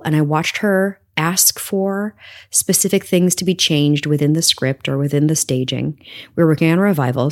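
A middle-aged woman with a low speaking voice, a theater actress telling stories as an interview guest, animated and quick to laugh. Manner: animated storyteller, laughs often, many fillers. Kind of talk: conversational interview storytelling.

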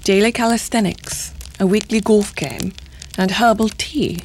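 An actress playing a sly, seductive middle-aged intelligence handler, teasing and insinuating in formal, deliberate diction. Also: female voice, mid-range voice, a little mellow, slight background noise, lightly acted, low-quality recording. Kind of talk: audio drama acting.

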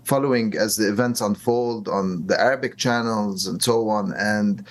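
A man from Lebanon, a professor of Middle Eastern studies, speaking as an interview guest with frequent fillers and restarts. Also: fairly low pitch. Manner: halting, many restarts and fillers.

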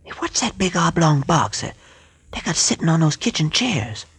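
A folksy, jittery sidekick. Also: male voice, on the high side, normal speed, breathy edge, no room sound, full character voice. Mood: alarmed. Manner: folksy, excitable. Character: nervous young male sidekick, folksy. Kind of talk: 1940s radio acting. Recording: old radio broadcast recording.

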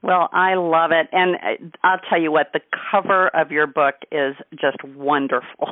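A middle-aged woman hosting a radio interview, upbeat and chatty, often pausing on fillers and restarts. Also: deep voice, fairly even intonation, no room sound, narrow, tinny audio. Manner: upbeat, halting with many fillers. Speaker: radio show host.

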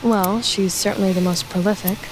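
A woman's voice: mid-range voice, fairly even intonation, fairly quick, over music, close to an everyday voice, rough recording. An actress playing an eager, awkward, chatty young woman in an audio drama, both confiding narration and dialogue. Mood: earnest, wistful. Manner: chatty, hesitant, nervously upbeat. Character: eager, awkward, clingy young woman, human best friend of a vampire.

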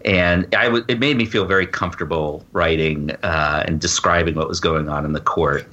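Bright-voiced low-pitched man, halting and informal. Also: fairly even intonation, dull audio. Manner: halting, informal, frequent self-interruptions and "you know". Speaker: guest author and law professor. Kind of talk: conversational podcast interview.

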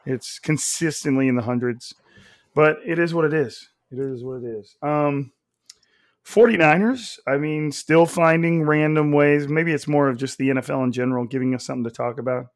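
A man with a raspy, slightly nasal, hoarse voice hosting a casual sports podcast, talking loudly and with energy. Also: a little high, normal speed, no room sound, studio quality. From Central California. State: hoarse from coaching. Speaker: podcast host.

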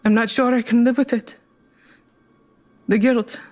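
A woman's voice: fairly high pitch, fairly even intonation, unhurried, a little mellow, faint music behind, lightly acted. An actress playing a troubled, guilt-ridden woman who keeps pressing, in an audio drama.

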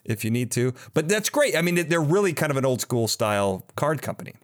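A man's voice: medium pitch, very animated, brisk. Casual, enthusiastic male podcast host. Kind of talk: casual conversational interview.

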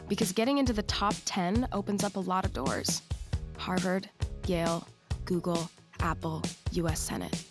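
Audio drama lead: actress as ambitious American teen student from a small town, medium register, some music behind. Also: female voice, medium pitch, close to an everyday voice. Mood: determined. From United States. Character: ambitious small-town teenage girl, scholarship boarding-school student. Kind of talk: audio drama acting with first-person narration.

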